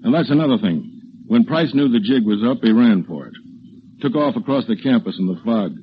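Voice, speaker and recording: A man playing a matter-of-fact police lieutenant in a 1940s radio drama, measured and businesslike, on a muffled, noisy recording. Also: medium pitch, animated, lightly acted.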